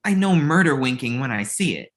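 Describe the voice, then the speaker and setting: A man's voice: mid-range voice, sing-song, brisk, lightly acted. A man playing an earnest, exasperated male manager in a comedy table read, urgent and conversational. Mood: skeptical.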